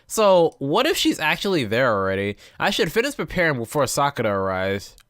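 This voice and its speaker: A man's voice: on the high side, huge pitch swings, a little fast, a bit bright. A man hosting a gameplay video, reading visual novel text aloud and dropping in informal asides. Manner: informal, reacts to the text he reads.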